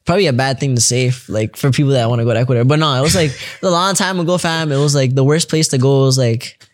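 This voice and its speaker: A man's voice: medium pitch, fast talker. A young man with a Toronto accent, a rapper guest on a podcast, talking in a laid-back, slangy way and often calling the host "fam.". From Canada. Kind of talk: casual chat.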